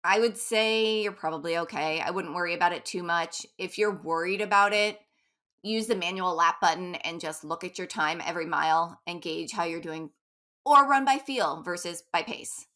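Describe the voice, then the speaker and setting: A woman's voice: fairly low pitch, bright, forceful tone. A woman running coach talking casually in an interview, chatty and upbeat. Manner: chatty, upbeat, quick asides with laughs.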